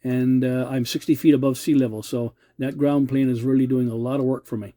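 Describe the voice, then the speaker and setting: A middle-aged or older man with a very warm, mellow voice recorded close and dry. He talks casually as a radio hobbyist, polite and measured. He often hesitates and doubles back on his words. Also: medium pitch, steady tone, dry recording. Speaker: amateur radio operator hosting a video channel. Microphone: cell phone filming the radio.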